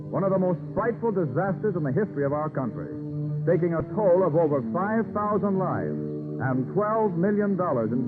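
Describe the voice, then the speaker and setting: A man's voice: fairly high pitch, lightly acted. A man narrating a 1930s radio drama as a grave, stirring, oratorical storyteller. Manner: solemn, oratorical. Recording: tinny 1930s radio recording, loud music.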